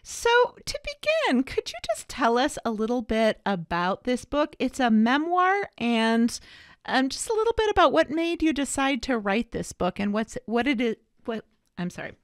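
A woman's voice: on the high side, sing-song, normal speed, no echo, studio quality. A woman host introducing a guest and asking questions, rephrasing them as she goes. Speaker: interviewer host.

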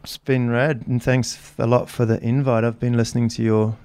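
Gravelly, hesitant male podcast guest. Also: mid-range voice, little pitch movement, normal speed, darkish tone, no room sound. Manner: hesitant, full of restarts and "I mean". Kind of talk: casual chat.

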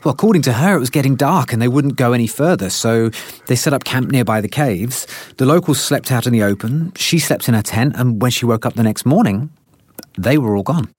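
An actor playing a perky, nerdy male scientist in a naturalistic audio drama, eager and pedantic, slightly husky. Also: mid-range voice, lively intonation, brisk, darkish tone, no echo. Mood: intrigued.

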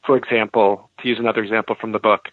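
A man's voice: fairly low pitch, level tone, rapid-fire, no echo, phone-quality sound. An American man speaking as an expert guest, explaining his points in answer to interview questions. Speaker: expert guest, law professor and author.

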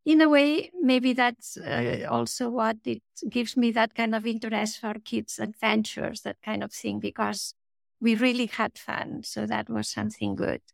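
A woman's voice: a little high, fairly even intonation, unhurried, creaky, no room sound, clean studio sound. A woman from Spain, a children's author being interviewed, talking hesitantly with frequent restarts. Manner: hesitant, restarts often, light laughs. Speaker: children's book author, guest. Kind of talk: podcast interview.

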